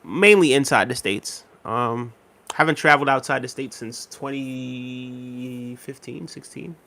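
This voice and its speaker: A man in his thirties hosting a casual livestream, reading viewer comments aloud in a relaxed, repetitive way with many fillers, a little unwell and tired. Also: mid-range voice, a little slow, creaky, slight background noise. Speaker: livestream host.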